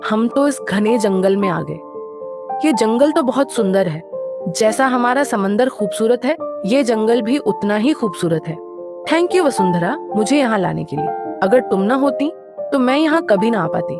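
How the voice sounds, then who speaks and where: A woman voicing a young, kind-hearted mermaid in a cartoon story, earnest and expressive. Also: a little high, measured pace. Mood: delighted. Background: music.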